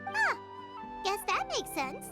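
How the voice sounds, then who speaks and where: A woman voicing a small, childlike fairy companion in an animated video game, chirpy, excitable and exclamatory. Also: very high-pitched, slow pace, full character voice. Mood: amazed. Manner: refers to self in third person, exclamatory. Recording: music behind the voice.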